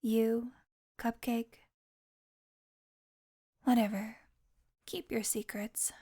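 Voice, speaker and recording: A woman's voice: a little high, little pitch movement, very slow, warm tone, breathy edge, full character voice. A woman playing a haughty, sardonic female vampire on the run in an audio roleplay, teasing and exasperated as she talks straight to the listener. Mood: mocking, teasing. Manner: sarcastic, teasing, exasperated sighs.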